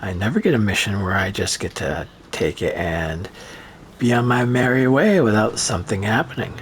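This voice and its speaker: Dude rambling over his game, deep-ish voice that swings up and down a lot, cursing, kinda hoarse from losing his voice. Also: expressive, normal speed, slight background noise. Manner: rambling, profane, sarcastic. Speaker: gaming streamer. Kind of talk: rambling gameplay commentary.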